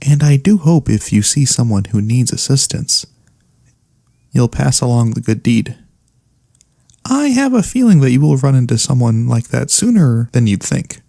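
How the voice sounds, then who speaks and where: A man playing a gentle elf cleric in a soft-spoken ASMR fantasy roleplay, speaking in a soothing, courteous, slightly formal way. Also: mid-range voice, big pitch swings, normal speed, vocal fry, no echo, clean studio sound. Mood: warm farewell. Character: gentle, caring male elf cleric healer, pacifist. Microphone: close studio USB microphone.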